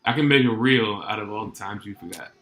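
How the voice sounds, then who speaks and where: A man's voice: on the low side, fast talker, fairly bright tone. A man co-hosting a podcast in casual chat, easygoing and teasing his co-host. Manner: easygoing, teasing, laughs often. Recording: roomy echo.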